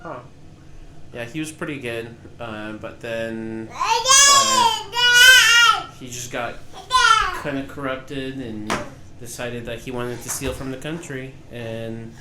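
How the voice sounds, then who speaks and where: A man's voice: mid-range voice, wildly swooping pitch, takes it slowly. Hesitant man from Peru, noisy recording. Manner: hesitant, many fillers. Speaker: podcast co-host. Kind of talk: casual chat.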